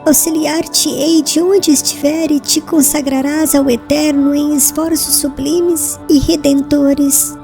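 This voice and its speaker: A woman acting a slow, high-voiced dying young woman. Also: fairly even intonation, music in the background. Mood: tender, solemn. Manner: slow, halting, breathless last-words delivery. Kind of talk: solemn audiobook drama acting.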